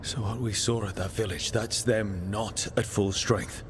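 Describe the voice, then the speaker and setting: An actor playing an earnest young elven mage hero in a video game, speaking plainly with an inquiring manner. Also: male voice, lowish voice, steady tone, a little slow, long reverb tail, noisy background, lightly acted. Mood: puzzled concern. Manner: plain-spoken, questioning, earnest. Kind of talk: dramatic video game dialogue.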